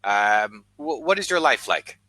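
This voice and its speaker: Animated American man tutoring on a call. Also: on the low side. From United States. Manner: patient, encouraging, speaks slowly and clearly with many fillers. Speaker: online English tutor. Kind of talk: casual conversation lesson. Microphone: video call.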